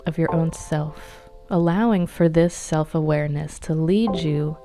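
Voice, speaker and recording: A woman narrating a guided meditation in a calm, measured, instructional manner. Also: deep voice. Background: meditation music.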